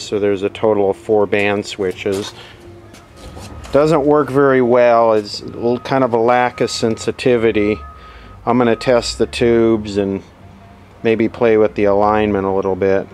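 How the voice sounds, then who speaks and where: A man's voice: fairly low pitch, a little hiss or hum. A man casually demonstrating a radio repair at his workbench, explaining each step plainly as he works. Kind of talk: casual hands-on workshop demo.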